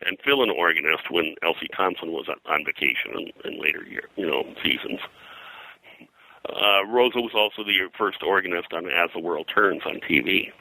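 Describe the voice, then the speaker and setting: A man's voice: low-pitched, normal speed, creaky, bright, forceful tone, no room sound, low-quality recording. An older American man reminiscing casually as an interview guest, in a rambling, anecdotal way that often halts mid-sentence. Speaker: interview guest, comics colorist and radio historian.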